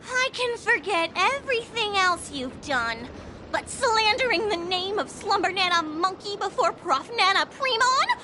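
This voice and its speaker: A woman voicing an indignant, high-strung, childish girl in a video game, with dramatic delivery. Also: animated, measured pace. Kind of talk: video game character acting. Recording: noisy ambience behind the voice.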